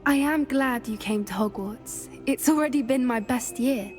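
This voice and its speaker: A woman voicing a gentle, earnest teenage witch in a video game, sincere and slightly hesitant. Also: on the high side, moderate pace, soft background music, close to an everyday voice. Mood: warm, sincere. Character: earnest, gentle teenage schoolgirl at wizard school. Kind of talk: naturalistic video game cutscene acting.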